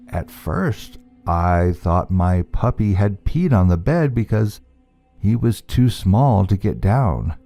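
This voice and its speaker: A man narrating a scary story from a script in a measured, deliberate storytelling manner. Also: lowish voice, gravelly, dark tone.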